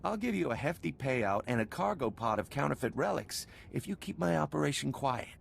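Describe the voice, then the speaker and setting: An actor playing a greedy, cynical smuggler and counterfeiter in a video game, with a gravelly voice. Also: male voice, mid-range voice, sing-song, a little fast, slight background noise. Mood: bargaining.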